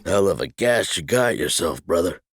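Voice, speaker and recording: Male actor as level-headed friend, very low voice, lively pitch, dry, measured, audio drama. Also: a little hiss or hum. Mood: wry.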